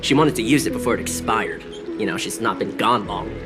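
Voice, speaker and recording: A man's voice: lowish voice, a little fast, over music, lightly acted. A man playing a greedy, smug bookshop counter minder in a video game, with a sneering, teasing drawl. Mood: smug, bargaining. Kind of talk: video game character dialogue.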